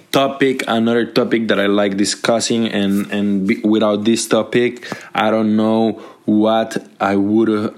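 A young Colombian man hosting a casual solo podcast, talking in an upbeat, motivational way into a microphone, with many restarts and fillers. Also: lowish voice. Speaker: podcast host.

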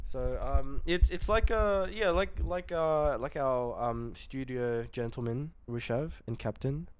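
A young man from the Philippines co-hosting a podcast in a casual, slangy chat that often trails off quietly. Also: mid-range voice, unhurried, clear, resonant tone. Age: young adult. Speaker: podcast co-host.

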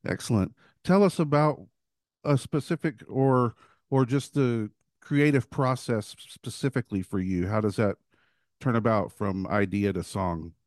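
A man's voice: medium pitch, measured pace, darkish tone, dry recording, studio quality. A man hosting an interview podcast, asking long rambling questions with many restarts. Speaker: podcast host; works at a guitar shop. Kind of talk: casual interview chat.

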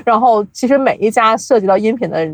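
A woman's voice: on the high side, fast talker, no room sound. A woman speaking as an interview guest, explaining audio production in casual chat and mixing in English terms.

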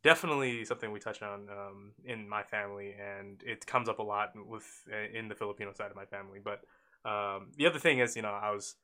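A young man from Oakland co-hosts a casual podcast. His voice is fairly low yet bright and forceful. He rambles loosely, with many fillers and restarts. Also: fairly even intonation, a little fast. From Oakland, California. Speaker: podcast co-host.

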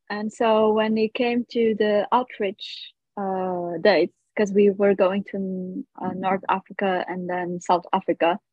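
A woman's voice: medium pitch, slightly rough. A woman from Portugal with a Portuguese accent, a guest casually telling her own story. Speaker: guest, missionary. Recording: roomy, dull lo-fi audio.

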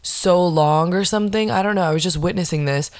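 A young woman with a lowish voice hosts a podcast, venting in a casual, foul-mouthed, sarcastic rant. She slips into mocking impressions of the people who annoy her. The recording is dry and close, with no room sound. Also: brisk, a little mellow, no room sound. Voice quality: low voice, not nasal. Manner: sarcastic, swears a lot, acts out mocking quotes. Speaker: podcast host. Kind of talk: casual ranting monologue.

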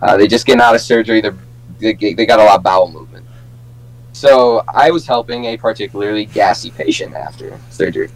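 A man's voice: on the low side, fairly quick, low-quality recording. A young man co-hosting a podcast, chatting casually and telling stories among friends. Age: young adult. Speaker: podcast co-host.

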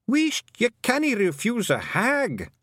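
A man voicing a chorus of tiny, superstitious clansmen with Scottish accents, high and drawn out in awed mutters. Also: wildly swooping pitch, slow, a bit bright, no room sound, heavily acted.

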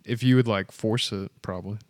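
A man co-hosting a casual podcast, laid-back and quick to agree. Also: on the low side, steady tone, no room sound. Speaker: podcast co-host. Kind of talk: casual chat.

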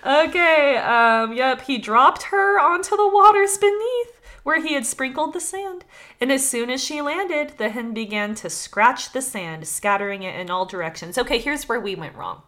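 High-voiced woman, irreverent comedic storyteller. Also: expressive, moderate pace, a bit bright. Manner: irreverent, sweary, jokey. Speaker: podcast co-host reading and retelling a folktale. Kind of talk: casual comedic storytelling with banter.

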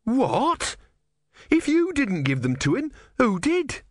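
A man's voice: fairly high pitch, big pitch swings, normal speed, a bit bright, no room sound, clean studio sound, pitched above the performer's own voice. A man voicing an earnest, devout young believer who is insistent and easily outraged, in a storybook reading. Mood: shocked, angry. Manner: insistent, indignant, pious.

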